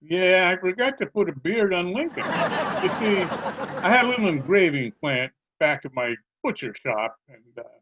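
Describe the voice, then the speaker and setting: Muffled, animated man playing a scatterbrained chatty fellow. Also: high voice, moderate pace, background noise. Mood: chatty, obliging. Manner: chatty, earnest, politely literal-minded. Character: genial scatterbrained male butcher who muddles every question. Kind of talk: old-time radio comedy acting. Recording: dull remote video-call recording.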